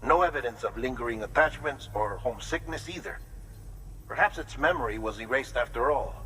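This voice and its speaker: An earnest male researcher speaks in a mid-pitched, bright and tense voice. He sounds uneasy and a little halting, as in a video game cutscene, over faint noise. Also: expressive, poor audio. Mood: earnest, relieved.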